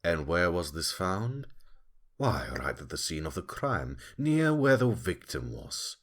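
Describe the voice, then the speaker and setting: A man's voice: low voice, very animated, measured pace, full character voice. A man playing a smug, relentless animal prosecutor in a courtroom audio drama, taunting and theatrical in his questioning. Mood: smug, confident. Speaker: voice actor in fan audio drama. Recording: loud music under the voice.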